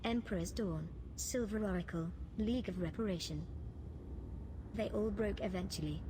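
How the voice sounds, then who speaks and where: A woman playing a cold, sadistic prison commandant in a science fiction audio log, speaking in a measured, clinical, menacing way. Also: medium pitch, background noise, close to an everyday voice. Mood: cold, smug. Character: cold, sadistic female prison commandant of an imperial intelligence facility.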